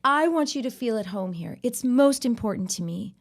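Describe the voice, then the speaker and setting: A woman acting a proud young bride in an audio drama, with an earnest, deliberate and emphatic delivery. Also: on the high side, lively intonation. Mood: tender, hopeful. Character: proud, indignant young bride standing her ground.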